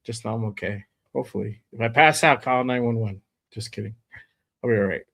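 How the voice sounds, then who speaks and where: A man with a cold, sounding hoarse, hosting a casual live stream from home, loose and slangy with lots of shout-outs to viewers. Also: mid-range voice, brisk. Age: adult with kids. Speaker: host.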